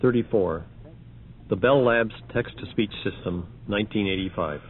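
A man reading scripted announcements for an audio demonstration in a measured, matter-of-fact way. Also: on the low side, husky. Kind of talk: scripted announcing of numbered examples. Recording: muffled, phone-like archival recording.

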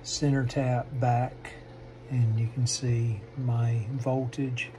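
A man narrating a hands-on repair demonstration, haltingly thinking aloud as he works at a bench indoors. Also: mid-range voice, flat delivery, mellow, a little hiss or hum. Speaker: host of a radio repair channel.

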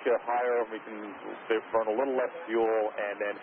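A man's voice: fairly low pitch, little pitch movement, normal speed. A man working as an air traffic controller, giving informal instructions over aviation radio. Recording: narrow tinny radio transmission, heavy static noise.

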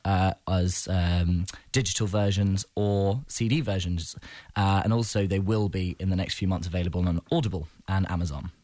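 A man's voice: low-pitched, fairly even intonation, moderate pace, darkish tone, no echo. A young man with an English accent, answering casually as a guest in a radio interview. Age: young adult. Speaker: theatre director, interview guest. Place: radio studio.

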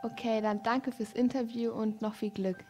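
A woman's voice: a little high, flat delivery, moderate pace, music in the background. A teenage girl interviewing a guest in a small radio studio, asking friendly, simple questions. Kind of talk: student interview. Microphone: studio mic on a boom arm.

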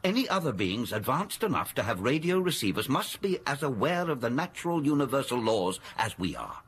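A male space scientist in a radio sci-fi serial. He is earnest and learned, explaining in a measured, precise way. His middle-pitched voice moves with animated intonation. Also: slightly rough, exaggerated voice. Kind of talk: science-fiction radio drama acting.

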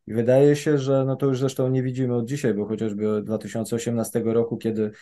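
Hesitant, mellow-voiced male analyst. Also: mid-range voice, flat delivery, fast talker. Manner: hesitant, many fillers and restarts. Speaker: policy analyst guest. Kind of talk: spoken expert commentary.

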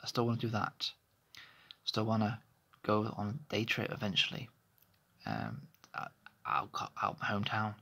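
Man, thirties, low gravelly voice, husky, hesitant rambling vlog, phone close up, small bedroom. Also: very animated, moderate pace, bright, forceful tone. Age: 30s. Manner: hesitant, rambling, many restarts. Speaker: vlogger. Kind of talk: candid personal vlog monologue. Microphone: phone held close to face.

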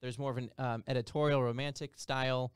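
A man's voice: mid-range voice, little pitch movement, fairly quick, clear, resonant tone, dry recording. A man in his thirties hosting a wedding podcast, telling stories full of quoted asides and fillers into a studio mic. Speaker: podcast host, DJ. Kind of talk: casual podcast chat. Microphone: studio mic on boom arm, headphones.

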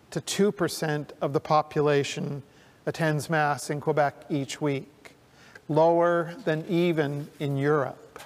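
An older male minister delivers his sermon at a slow, unhurried pace, his voice sitting a little high for a man. He speaks earnestly with deliberate pauses into a pulpit mic, and the sound rings out with the long reverb of a church sanctuary. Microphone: pulpit microphone.

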